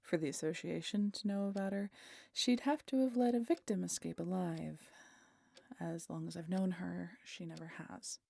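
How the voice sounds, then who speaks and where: A weary, guarded vampire woman, acted by a woman speaks in a low, gravelly voice with a husky, breathy edge. She is dryly sardonic yet protective, talking haltingly and intimately to one listener in an audio roleplay. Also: mellow, dry recording. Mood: grim, explaining. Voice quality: breathy, husky, often dropping to a whisper.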